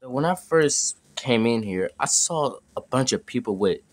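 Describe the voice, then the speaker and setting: A man's voice: mid-range voice, expressive, normal speed, close to an everyday voice. A man voicing a lost, anxious young newcomer in an amateur game roleplay, hesitant and stammering. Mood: wary, tentative.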